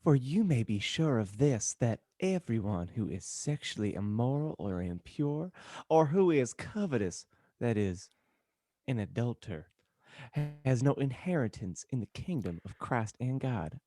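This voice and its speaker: A man's voice: mid-range voice, very animated, measured pace, warm tone, dry recording. A man voicing a sanctimonious, stern reverend headmaster in a comedic fan fiction reading. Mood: preachy.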